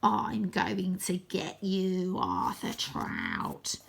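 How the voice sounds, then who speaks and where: A woman voicing a gloating male scarecrow villain in a storybook, with a raspy, snarling voice and slow, sneering threats. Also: fairly low pitch, huge pitch swings, exaggerated voice. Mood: menacing.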